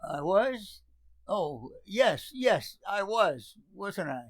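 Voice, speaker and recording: A man's voice: high voice, big pitch swings, very slow, dry recording, exaggerated voice. A man playing a stuffy, formal, long-winded civic bigwig, a dinner guest in a radio comedy. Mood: muddled, stiff. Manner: pompous, formal, easily muddled. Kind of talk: radio comedy theater acting.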